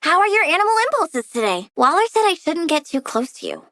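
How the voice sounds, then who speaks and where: An actress playing a perky, morbidly cute young plant villainess in a video game, with a childlike, sardonic, theatrical delivery. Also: female voice, extremely high pitch, very animated, fairly quick, bright, forceful tone, no echo, studio quality, full character voice. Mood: playful. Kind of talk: video game character dialogue.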